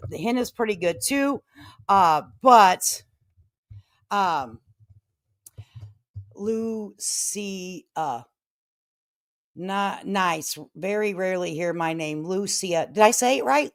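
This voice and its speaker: Animated, folksy woman livestreamer. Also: mid-range voice, dry recording, studio quality. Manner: folksy, sassy slang with mild swearing. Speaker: livestream host. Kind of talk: casual livestream chat.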